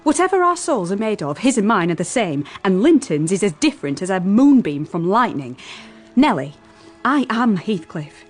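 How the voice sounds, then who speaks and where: An actress playing a passionate, headstrong young gentlewoman in an intense gothic radio drama. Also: medium pitch, big pitch swings, moderate pace, slightly rough. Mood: passionate, earnest. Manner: impassioned, dramatic. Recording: music behind the voice.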